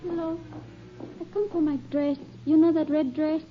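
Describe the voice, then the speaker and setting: Actress playing a shy young Mexican-accented cotton picker. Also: female voice, high-pitched, flat delivery, normal speed, soft background music, lightly acted. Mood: wary. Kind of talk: 1950s radio drama acting. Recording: dull old radio recording.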